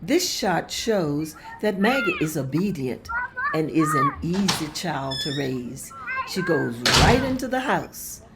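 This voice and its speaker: A woman reads stage directions aloud in a plain, steady, even way. Her voice is on the low side. The recording carries clear background noise. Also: takes it slowly. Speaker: narrator reading stage directions. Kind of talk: table-read narration of a script.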